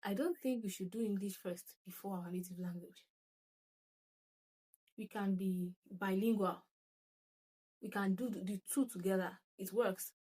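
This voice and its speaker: A young Nigerian woman with a Nigerian accent hosts her own channel and talks straight to her viewers. She reads comments aloud and thinks them through in a chatty, reflective way, often restarting her sentences and breaking into laughter. Her voice sits at an ordinary pitch for a woman. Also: measured pace, pauses often, a little mellow, rough recording. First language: Yoruba. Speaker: channel host. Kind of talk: casual talk to camera, reading comments.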